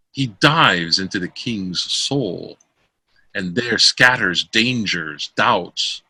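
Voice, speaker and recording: Husky man declaiming Shakespeare, swooping pitch. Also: pushed and bright. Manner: theatrical, declaiming. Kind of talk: amateur read-aloud of Shakespeare verse drama. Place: close dry room, online call.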